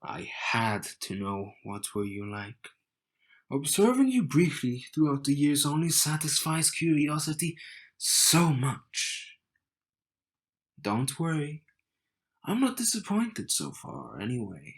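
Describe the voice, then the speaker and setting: Slow-talking, teasing young groom, played by a man. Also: a little high, very animated, husky, full character voice. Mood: cocky, intrigued. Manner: smug, teasing, unhurried delivery with dramatic pauses. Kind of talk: romantic audio roleplay addressed to the listener.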